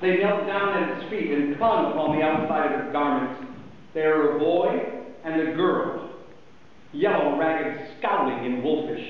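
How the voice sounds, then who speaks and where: Male stage narrator, emphatic and theatrical, high voice, tinny distant sound with heavy echo. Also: measured pace. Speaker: narrator in a two-man stage reading. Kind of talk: dramatic reading of a Victorian story. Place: hall, live performance. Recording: very echoey hall, distant thin phone-like sound, faint noise.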